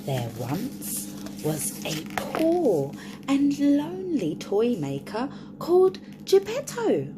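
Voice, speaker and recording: Animated sing-song woman reading to kids. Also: sits high, slow pace, heavy background noise. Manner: animated, sing-song, asks the listener questions. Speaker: storyteller for children. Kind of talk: reading a picture book to young children.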